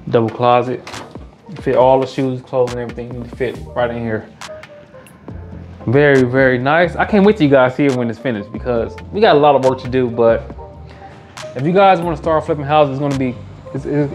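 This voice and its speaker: A man's voice: mid-range voice, fairly quick, a little mellow, faint music behind. Hyped-up man touring an empty house. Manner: casual upbeat hype, repeats phrases. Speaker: realtor and house flipper. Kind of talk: walkthrough house tour vlog. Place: empty house, bare rooms indoors.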